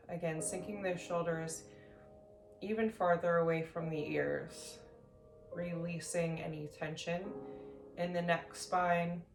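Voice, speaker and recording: Woman doing a sleep meditation, gentle n slow w long pauses, echoey room, bit of soft music under it. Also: lowish voice. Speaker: meditation instructor. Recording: roomy echo, faint music behind.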